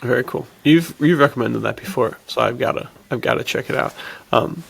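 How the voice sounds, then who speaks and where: Man, podcast host, strong vocal fry, medium pitch, casual chat, slangy, enthusiastic, laid-back. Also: a little fast, a bit bright, rough recording. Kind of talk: casual chat about films and books.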